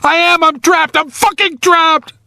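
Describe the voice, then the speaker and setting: Man voicing a high, panicked trapped young man. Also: lively intonation, takes it slowly, a bit bright, no echo, clean studio sound, exaggerated voice. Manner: pleading, strained, near tears. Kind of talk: audiobook character dialogue.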